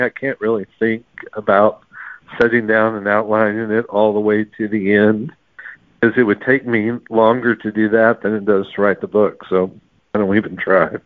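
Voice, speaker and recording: A man's voice: fairly low pitch, no room sound. A man answering interview questions casually as a novelist, heard over a tinny, narrow line.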